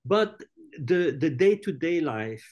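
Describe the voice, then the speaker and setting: Halting man on a video call. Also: a little high, sing-song, a little mellow, dull audio. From born in France, raised in Italy and Switzerland. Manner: halting, many restarts and fillers. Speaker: hotel group head. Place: indoors, room with large painting. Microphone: video call webcam.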